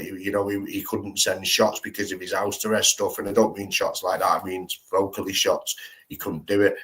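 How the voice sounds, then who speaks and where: A man hosts a live stream in loose, slangy talk. He rambles and restarts his sentences often, in a low voice. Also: a bit bright, poor audio. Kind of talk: casual live-stream chat.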